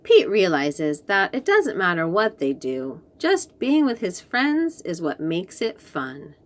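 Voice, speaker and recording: A woman reading aloud to young children as host, expressive and encouraging, with chatty, teacherly asides. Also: on the low side, slow. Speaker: children's story reader and host.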